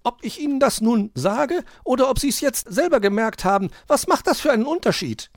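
Guy doing a bossy lady magpie from a kids book, super high n loud, always arguing. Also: lively intonation, brisk, dry recording, clean studio sound. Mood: snippy. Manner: pert, scolding, argumentative. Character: bickering, snippy female magpie. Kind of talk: children's storybook character voice.

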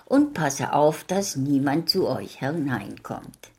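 A woman doing a gentle young wolf. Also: rumbling low, sing-song, slow pace, gravelly, slightly rough, exaggerated voice.

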